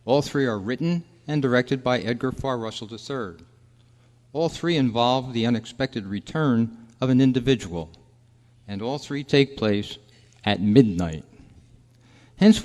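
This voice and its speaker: A man's voice: mid-range voice, lively intonation, measured pace, darkish tone. A man hosting a live event, announcing formally and projecting in old-time radio style. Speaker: club host and show announcer. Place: convention meeting room before an audience. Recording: echoey hall, long reverb.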